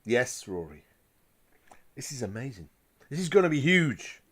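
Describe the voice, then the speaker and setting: A man acts out an excitable male boss in an audio drama. He is gruff, emphatic and blunt, blustering along with a very lively, mid-pitched delivery. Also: measured pace. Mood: excited.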